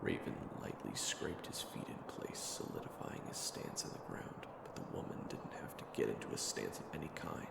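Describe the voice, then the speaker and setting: A man's voice: rumbling low, lively intonation, normal speed, creaky, hardly any pauses, roomy sound, far from the mic, heavy background noise. A man reading scripted third-person narration as the narrator of an audio drama.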